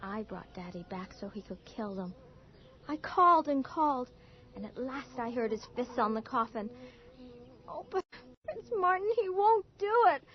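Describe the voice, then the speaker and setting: A woman's voice: high voice, animated, moderate pace. A woman playing a desperate, vengeful teenage girl pleading intensely in a radio horror drama. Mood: grim, haunted. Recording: muffled early 1980s radio broadcast.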